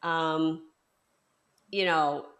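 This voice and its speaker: Female podcast host, somewhat low voice, halting conversational delivery with frequent fillers. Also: fairly even intonation, fairly bright tone. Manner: hesitant, many fillers and restarts. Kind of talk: conversational interview.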